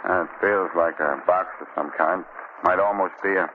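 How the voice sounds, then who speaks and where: An actor playing a harried, stubborn American police commissioner, plain-spoken, in 1940s radio drama style. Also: male voice, low voice, steady tone, normal speed, close to an everyday voice. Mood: wary. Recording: scratchy, tinny 1940 radio recording.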